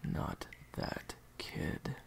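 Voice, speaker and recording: A man playing a cold, defiant assassin gang leader in an audio roleplay drama, with a husky, gravelly voice. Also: extremely slow, whispered. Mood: angry, muttering.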